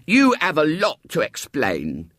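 A man voicing a fussy, excitable wealthy mother who turns hysterical, in a storybook reading. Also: high voice, slow pace, dry recording, studio quality, pitched above the performer's own voice, heavily acted. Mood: stern. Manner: hysterical, gushing.

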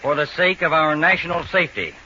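An actor playing a stern counterspy chief, giving a clipped, authoritative bulletin in an old radio drama. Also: male voice, on the high side, fairly quick, close to an everyday voice. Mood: urgent authority. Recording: dull old radio recording, faint noise.

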